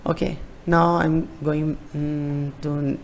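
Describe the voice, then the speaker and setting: A man giving a screen-recorded walkthrough of a form, hesitant and halting with many fillers and restarts. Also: a little high, level tone, vocal fry, a little hiss or hum. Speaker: tutorial presenter.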